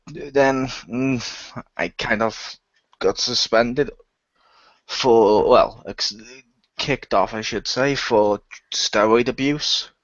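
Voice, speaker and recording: A man's voice: medium pitch, fairly bright tone, dry recording, muffled recording. A man playing a brash, sardonic ex-athlete hero in an improvised audio role-play.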